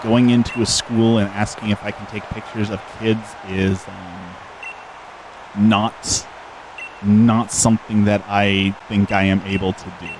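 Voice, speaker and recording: A man's voice: fairly low pitch, flat delivery, takes it slowly, background noise. A middle-aged man streaming a game, chatting casually and earnestly with viewers into a desk microphone, with many fillers and restarts. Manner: outgoing and extroverted. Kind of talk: casual live-stream chat answering viewers while gaming.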